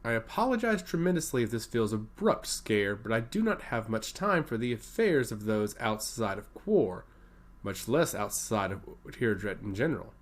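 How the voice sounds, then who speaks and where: A man's voice: on the low side, sing-song, normal speed. A man voicing a haughty, impatient archmage in a fantasy story, curt and dismissive.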